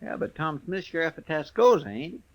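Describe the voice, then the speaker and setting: An actor playing a folksy, earnest and deferential American sidekick to a frontier marshal in a 1950s radio western. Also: male voice, a little high, sing-song, brisk. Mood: folksy, chatty. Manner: folksy, deferential, rustic drawling phrasing. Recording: faintly noisy vintage radio recording.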